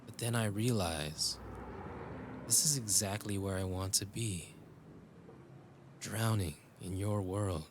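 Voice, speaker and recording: A man's voice: lowish voice, slow, long pauses, background noise. A man playing a world-weary, tender cyberpunk dreamer in love, speaking intimately and reflectively in a romantic audio roleplay. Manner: intimate, reflective, switching into Tagalog phrases.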